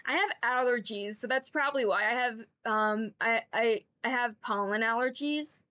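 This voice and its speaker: A woman's voice: on the high side, normal speed, dull audio. A woman streamer with a stuffy nose from allergies, chatting casually and rambling to her chat. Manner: rambling, chatty, repeats herself.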